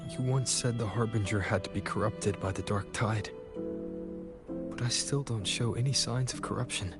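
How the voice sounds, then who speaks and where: A man playing an earnest, level-headed young hero in a video game, speaking calmly and sincerely. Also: fairly low pitch, normal speed, over loud music, lightly acted. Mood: questioning. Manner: calm, earnest.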